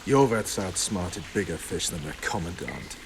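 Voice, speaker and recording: An actor playing a gruff, cynical military commander in a video game, blunt and sardonic. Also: male voice, low voice, expressive, moderate pace, background noise. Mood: grim, wry. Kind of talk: video game cutscene acting. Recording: faint rain and ambience behind.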